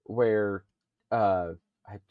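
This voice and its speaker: A man on a casual pop culture podcast, talking in a halting way as he thinks aloud. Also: lowish voice, fairly even intonation, dry recording, narrow, tinny audio. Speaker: podcast panelist.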